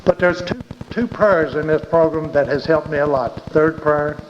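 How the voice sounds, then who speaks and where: A man telling his recovery story at a meeting in a plain, halting way, often repeating words. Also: a little high, background noise. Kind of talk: personal recovery testimony. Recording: dull recording with long hall reverb.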